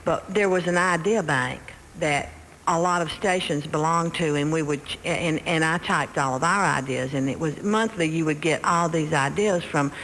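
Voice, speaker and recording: A woman's voice: sits low, normal speed, a bit bright. A middle-aged woman, reminiscing anecdotally as a guest in a radio interview. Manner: anecdotal, quoting remembered conversations. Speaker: interview guest, music publishing executive. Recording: noisy, hall-like reverberant radio aircheck.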